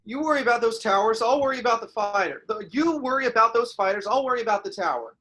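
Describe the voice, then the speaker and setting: A man's voice: very high-pitched, fast, bright, forceful tone, heavily acted, poor audio. A man playing a brave, determined young starfighter pilot in a dramatic script read, giving urgent commands. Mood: firm.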